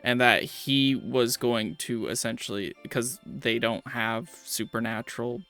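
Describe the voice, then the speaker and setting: A man's voice: medium pitch, a little slow, sharp tone, soft background music. A man running a tabletop game as dungeon master, explaining the lore to his players in long run-on sentences.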